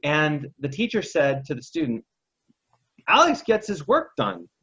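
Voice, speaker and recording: A man's voice: a little high, sing-song, measured pace, bright, forceful tone, husky. Reflective man on a dull lo-fi line. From Texas. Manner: reflective, many restarts and self-corrections. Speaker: guest entrepreneur and coach. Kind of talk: casual interview storytelling. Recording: slightly dull lo-fi sound.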